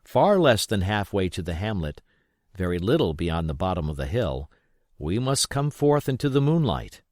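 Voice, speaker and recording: A man narrates an adventure story as an audiobook reader. His voice sits on the low side and moves through a wide, animated range. The sound is close and dry, with an expressive scripted delivery. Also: normal speed, no room sound.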